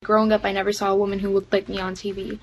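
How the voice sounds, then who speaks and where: A woman's voice: mid-range voice, monotone, very fast. A woman student speaking sincerely in a documentary-style interview clip. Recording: clip with clear music bed.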